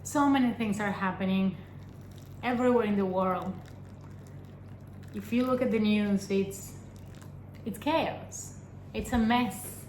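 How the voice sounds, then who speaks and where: A young adult woman from Argentina, a vlogger giving an earnest personal talk to camera, pausing often and asking rhetorical questions. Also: mid-range voice, a little mellow, slight background noise. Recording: echoey room with faint ambience.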